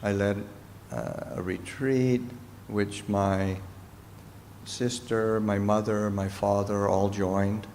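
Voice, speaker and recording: A middle-aged Canadian-raised monk answers questions slowly and thoughtfully, pausing often. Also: male voice, on the low side, little pitch movement, a little mellow. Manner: slow, halting, thoughtful speech with pauses. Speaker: Buddhist monk and teacher. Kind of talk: Dharma talk Q&A answer. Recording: reverberant hall with faint noise.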